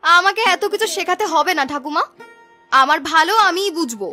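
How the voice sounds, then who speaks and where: A woman voicing a greedy, stubborn young farm girl who argues bossily in an animated moral-story cartoon. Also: extremely high pitch, fairly quick, soft background music, exaggerated voice. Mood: defiant. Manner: bossy, argumentative, scolding.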